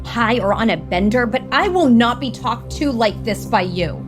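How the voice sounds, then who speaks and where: An actress playing a polished, sharp-tongued corporate sister in an audio soap opera, assertive and easily exasperated. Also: female voice, a little high, animated, normal speed, music in the background, natural voice. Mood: exasperated, angry.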